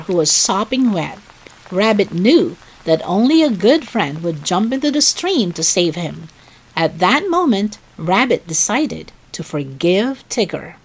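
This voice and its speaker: A woman narrating a children's story in an expressive, deliberate read-aloud style. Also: mid-range voice, slight background noise, low-quality recording.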